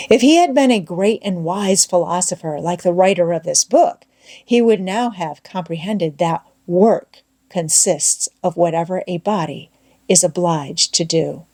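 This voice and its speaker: A woman reading an audiobook as expressive storytelling, with measured, deliberate phrasing. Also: on the low side. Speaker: audiobook narrator. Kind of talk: expressive scripted storytelling narration.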